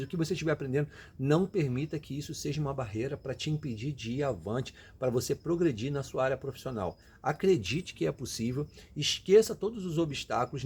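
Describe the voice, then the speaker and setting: A man's voice: on the high side, darkish tone, husky. A Brazilian man giving emphatic, motivational advice directly to his viewers in a casual way. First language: Portuguese. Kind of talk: motivational casual talk to camera.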